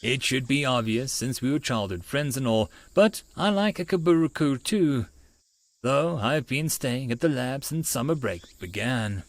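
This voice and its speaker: A man narrating a first-person story as an audiobook reader, in an expressive storytelling style. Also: mid-range voice, unhurried, no echo.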